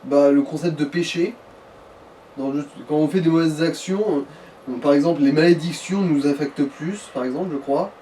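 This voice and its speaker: A man's voice: on the high side, moderate pace, mellow. A man in an informal, explanatory gaming chat, advising the player as co-commentator. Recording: distant mic, faint noise.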